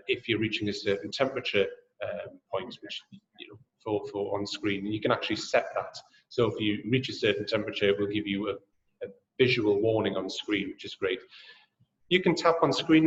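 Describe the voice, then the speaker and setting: A man from Newcastle with a Geordie accent, hosting a webinar and making a friendly sales pitch full of ums and uhs. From Newcastle, England. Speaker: webinar host and drone sales trainer. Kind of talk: presenting a webinar and answering questions. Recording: echoey, dull webinar audio.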